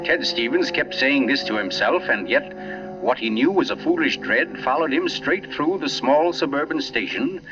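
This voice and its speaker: An actor playing a grave novelist who narrates a suspense tale in a measured, dramatic 1940s radio style, on a vintage recording. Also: male voice, mid-range voice, animated, far from the mic, lo-fi sound, lightly acted. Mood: ominous. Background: dramatic score music.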